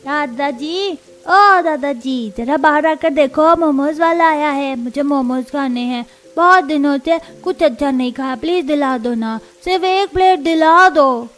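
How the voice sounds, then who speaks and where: A woman's voice: high-pitched, unhurried, few pauses, fairly bright tone. A woman voicing a whiny, insistent young child in a cartoon story. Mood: pleading. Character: whining, pleading young child begging for treats. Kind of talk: Hindi cartoon story acting.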